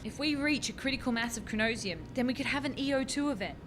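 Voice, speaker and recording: Casting: actress as a higher-voiced young woman scientist, keen and exasperated, radio farce, rumbly noisy home recording. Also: fast, natural voice. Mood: grave. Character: keen, exasperated young female physics researcher. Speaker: actor in a radio play. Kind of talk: radio play farce acting.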